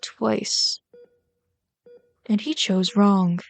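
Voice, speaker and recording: A woman voicing a bitter, yearning female villain in an intimate ASMR roleplay, brooding and dramatic, slipping into breathy whispers. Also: mid-range voice, steady tone, drawn-out words. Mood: scornful, impassioned.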